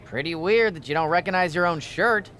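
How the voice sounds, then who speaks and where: A woman playing a pushy wrestling company official in a video game, brisk, glib and condescending. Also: on the low side, fairly bright tone, a little hiss or hum, natural voice. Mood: smug. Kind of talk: video game cutscene acting.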